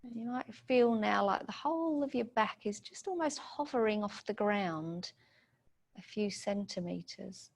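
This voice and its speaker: Woman gently guiding a meditation. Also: medium pitch, gravelly. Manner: soothing, gentle instruction in short unhurried phrases. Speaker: meditation guide. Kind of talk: guided meditation.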